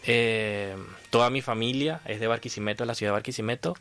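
A man's voice: mid-range voice, fairly even intonation, a little fast, fairly bright tone. A man in his thirties with a Venezuelan Spanish accent, answering conversationally as an interview guest. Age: 30s. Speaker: interview guest, horn player.

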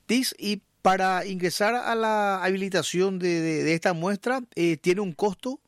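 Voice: medium pitch, a little slow, no echo, clean studio sound. A radio host from Paraguay, interviewing a guest casually and chiming in with short agreements.

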